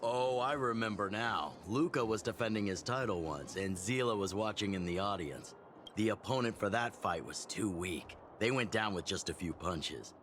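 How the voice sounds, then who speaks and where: A man voicing a genial middle-aged town chief and mentor in a game, relaxed and teasing in a fatherly way. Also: on the low side, normal speed, a little hiss or hum. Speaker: community chief.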